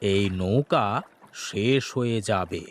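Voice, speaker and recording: A man voicing a solemn, low-pitched old hermit. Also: slow, slight background noise. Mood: solemn, instructing. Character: old male holy hermit, solemn, commanding, mysterious miracle worker. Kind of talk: Bengali fairy-tale cartoon dubbing.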